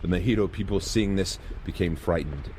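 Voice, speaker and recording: A man's voice: deep voice, fairly quick, heavy background noise. A man narrating a comic fantasy drama from a script in a mock-dramatic manner. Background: loud music.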